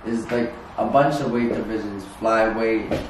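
A boxer chatting in a laid-back, teasing way at a kitchen table, telling stories with many fillers, heard from across the room. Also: male voice, on the low side, steady tone, unhurried. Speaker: boxer guest. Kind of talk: casual podcast chat. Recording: echoey room from a distance with noise.

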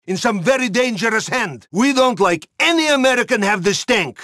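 A gloomy Russian naval man for a video game. He speaks deadpan, dramatic broken English in a Russian accent. The voice sits high for a man and is very dry. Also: moderate pace, no echo, heavily acted. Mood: solemn, suspicious. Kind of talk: video game character dialogue.

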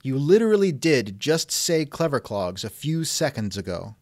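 Wry man with lively pitch swings. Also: normal speed, no room sound. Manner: wry, skeptical. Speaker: interviewer, host. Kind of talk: reenacted text-chat interview, conversational.

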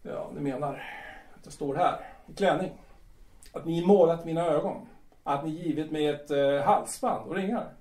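A man's voice: on the high side, darkish tone, lightly acted. A man playing a bitter, proud, evasive bohemian playwright in an audio drama, with a hesitant, grumbling delivery. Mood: reluctant, sulky. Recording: echoey room.

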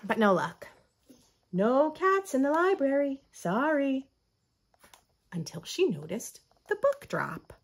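An American woman in her thirties reading a picture book aloud with expressive, teacherly asides to young children. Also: sits high, takes it slowly, long pauses. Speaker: children's story host. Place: small furnished room at home.

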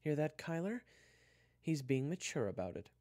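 A man's voice: a little high, very animated, normal speed, a little mellow, pitched above the performer's own voice. A man voicing a sensible, exasperated schoolgirl who chides and protests in a narrated story. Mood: reasoning. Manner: chiding, protesting.

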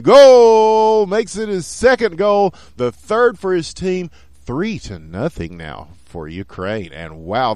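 Excitable Texan man calling a hockey game. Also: on the high side, dry recording. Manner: excitable, rising to shouts on goals. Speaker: esports caster. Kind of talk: play-by-play commentary.

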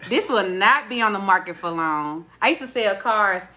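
A woman in her late twenties hosting a casual podcast interview, chatty and expressive, playfully teasing her guest, recorded on a studio mic in a small room. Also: medium pitch, normal speed. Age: 20s. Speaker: podcast host. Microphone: studio mic on boom arm.

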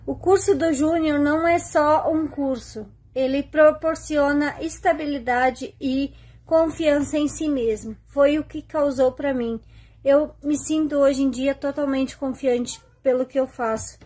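A woman's voice: sits high, steady tone, a little slow, darkish tone. A woman from Rio Grande do Sul with a southern Brazilian accent, giving an earnest selfie testimonial. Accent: Rio Grande do Sul Brazilian Portuguese. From Jaquirana, Rio Grande do Sul, Brazil. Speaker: course student giving a testimonial. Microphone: phone at arm's length.

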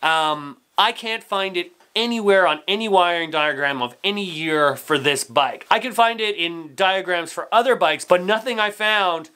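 Casual man, slightly high voice. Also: moderate pace, hardly any pauses, bright, forceful tone, smooth. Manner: conversational, detailed, step-by-step explaining. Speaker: host of a motorcycle build channel. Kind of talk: casual explanatory workshop talk. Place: garage workshop with foam-padded wall.

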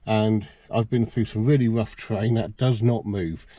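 A man's voice: on the low side, steady tone, normal speed, warm tone, dry recording, highs cut off. A middle-aged man giving a casual, plain-spoken how-to demonstration. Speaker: presenter of a car DIY video. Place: outdoors in a yard and inside a parked car.

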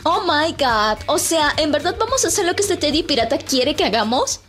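A woman's voice: high-pitched, sing-song, moderate pace, fairly bright tone, faint music behind. A woman voicing a vain, dismissive teenage fashionista who drawls her words in a fan dub. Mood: snooty. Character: vain valley-girl teen fashionista.

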